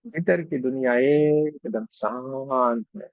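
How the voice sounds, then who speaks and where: A man guiding a meditation in a gentle, unhurried, devotional way, giving instructions phrase by phrase with long pauses. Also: mid-range voice, no echo, phone-quality sound. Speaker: meditation guide and Reiki teacher. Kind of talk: guided meditation, slow spoken instruction with pauses.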